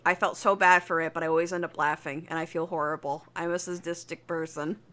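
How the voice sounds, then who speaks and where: A woman's voice: sits low, steady tone, fast, a bit bright. A woman co-hosting a casual podcast, speaking hesitantly and apologetically, with casual swearing. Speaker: podcast co-host.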